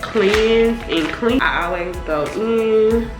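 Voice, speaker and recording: A woman's voice: on the high side, slow, reverberant, music in the background. A young woman chatting casually to her viewers in informal slang, sounding congested from a cold. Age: young adult. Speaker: beauty vlogger. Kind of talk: casual chatty get-ready-with-me talk.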